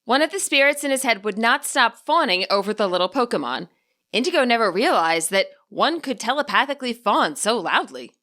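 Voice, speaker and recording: A woman's voice: on the high side, expressive, brisk, sharp tone. A woman reading her own fan fiction aloud as a scripted story narration.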